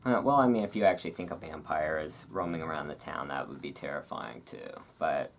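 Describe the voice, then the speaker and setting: A host doing a relaxed ASMR-style reading aloud, breaking off into informal, musing asides. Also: extremely low pitch, a little fast, a little hiss or hum. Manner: informal, chatty, musing asides between read passages.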